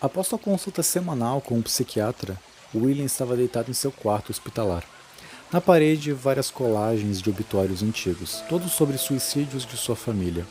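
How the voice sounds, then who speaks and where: A man's voice: mid-range voice, animated, normal speed, darkish tone, slight background noise. A man giving a solemn, dramatic scripted narration for a horror audio drama.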